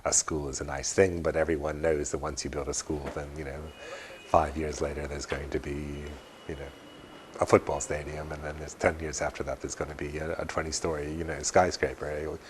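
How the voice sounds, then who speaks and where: A man giving unscripted interview commentary in a deliberate, wry way, often halting and repeating words. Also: extremely low pitch, flat delivery, background noise.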